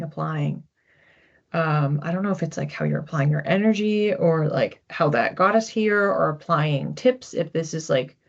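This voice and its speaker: A woman's voice: lowish voice, creaky. A woman giving a psychic reading, musing aloud and often repeating words as she searches for what comes through. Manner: hesitant, repeats words while searching for images. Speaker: psychic reader. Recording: dull lo-fi recording.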